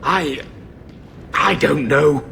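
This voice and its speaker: An actor playing a bitter, grieving middle-aged uncle in a video game, emotional and strained. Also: male voice, a little high, extremely slow, vocal fry, pushed and bright, a little hiss or hum, exaggerated voice. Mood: resentful grief.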